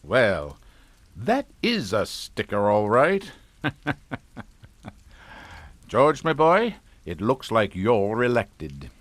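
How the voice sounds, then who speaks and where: Pompous, scheming father for radio play, condescending, lively intonation, dry studio sound. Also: lowish voice, drawn-out words, pauses often, no echo, full character voice. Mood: smug, mock-regretful. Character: domineering, pompous English family patriarch, coolly scheming father. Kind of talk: radio drama acting.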